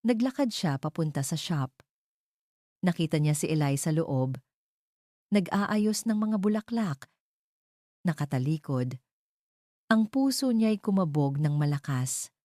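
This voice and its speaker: A woman's voice: deep voice, animated, measured pace, pauses often, mellow, dry recording, studio quality. A woman narrating a scripted love story in a dramatic storytelling style.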